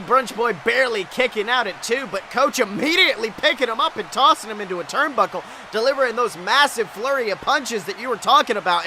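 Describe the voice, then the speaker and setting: A male wrestling commentator calls the action in a strikingly high, sharp voice. His delivery is breathless and exclamatory, full of dramatic emphasis. There is faint noise and ambience behind him. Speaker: wrestling commentator, podcast host. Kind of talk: excited play-by-play wrestling commentary.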